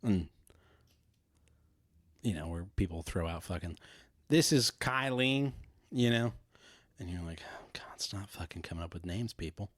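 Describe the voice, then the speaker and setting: A man with a low voice co-hosts a podcast, talking in loose, profane banter and laughing often. His voice sounds close and dry, with little room sound around it. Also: expressive, a little slow, long pauses, dry recording. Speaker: podcast co-host. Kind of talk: casual chat.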